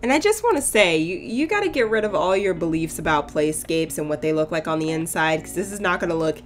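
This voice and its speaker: A young woman chatting casually over her game build, her voice a bit hoarse and cracking, rambling into playful asides. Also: on the low side, animated, fast talker. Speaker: sims builder YouTuber. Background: soft background music.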